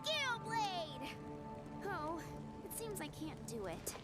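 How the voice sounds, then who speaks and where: A woman's voice: extremely high pitch, big pitch swings, takes it slowly, full character voice. A woman playing an eager, easily impressed young female character in a video game. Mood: determined. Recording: loud game music over the voice.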